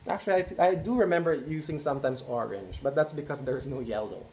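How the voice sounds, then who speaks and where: A man's voice: medium pitch, quick pace, darkish tone. A man reading a picture book aloud, chatting and asking listeners questions. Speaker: read-aloud host. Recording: echoey room, faint noise.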